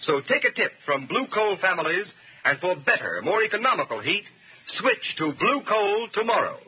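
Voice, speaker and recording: A man's voice: high-pitched, very animated, moderate pace, breathy edge. A man reading a formal, emphatic 1930s radio advertisement as announcer, on a muffled old recording. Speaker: commercial announcer.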